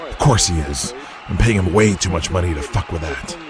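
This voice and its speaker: A man's voice: low-pitched, a little fast, a crowd in the background, voice pushed down, close to an everyday voice. A man voicing a stern, cynical wrestling promoter in an audio drama, speaking in a curt, accusatory manner. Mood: dry confidence.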